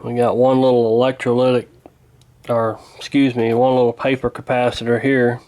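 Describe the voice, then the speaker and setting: A man's voice: mid-range voice, level tone, normal speed, a little mellow. A man talking casually and hesitantly through a hands-on repair, in a folksy, unscripted way. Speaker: radio repair hobbyist, presenter.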